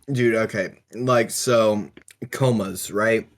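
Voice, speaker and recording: A man co-hosting a casual discussion podcast, explaining his thoughts in a halting, thoughtful way. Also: fairly low pitch, fairly even intonation. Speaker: podcast co-host.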